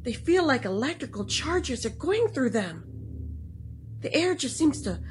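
Audio play heroine, acted by a woman: awestruck, wondering aloud, rather slow with wide pitch movement, music behind. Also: sing-song, a little hiss or hum. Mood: awestruck, dazed. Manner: wondering, self-narrating. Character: awestruck woman undergoing a strange transformation. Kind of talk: amateur audio drama monologue.

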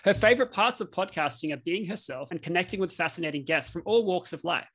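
A man's voice: high-pitched, lively intonation, fast, a bit bright. A man hosting a podcast, reading a polished, upbeat introduction and then interviewing a guest. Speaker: podcast host and coach.

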